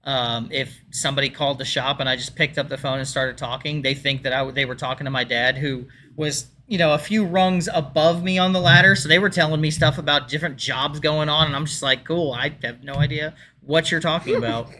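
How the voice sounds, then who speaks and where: A man's voice: fairly quick, few pauses, pushed and bright, slight background noise. A man co-hosting a podcast, telling stories in a rambling, casual way with many fillers. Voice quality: deep voice like his father's. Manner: rambling, chatty storytelling with fillers. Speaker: podcast co-host. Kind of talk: casual chat.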